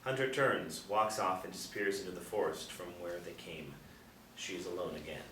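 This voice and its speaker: A man narrating stage directions at a screenplay table read in measured, dramatic storytelling phrases. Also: sits low, fairly bright tone. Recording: echoey room, distant, faint noise.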